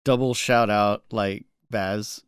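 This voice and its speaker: A man co-hosting a gaming news show in casual, informal, somewhat halting talk peppered with filler words. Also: fairly low pitch, steady tone, clear, resonant tone, dry recording, studio quality. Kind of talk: casual podcast chat.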